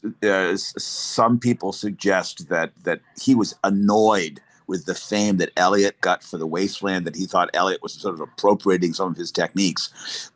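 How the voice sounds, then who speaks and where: Deep-voiced man panelist, scholarly, stammering starts, laptop mic. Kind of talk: video call panel discussion. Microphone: laptop mic on video call.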